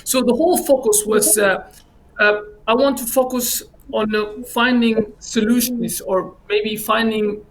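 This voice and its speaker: A man who grew up in Finland, answering as an election candidate on a panel in a halting way with many fillers. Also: sits high, fairly even intonation, fairly bright tone, low-quality recording. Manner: hesitant, many fillers and restarts.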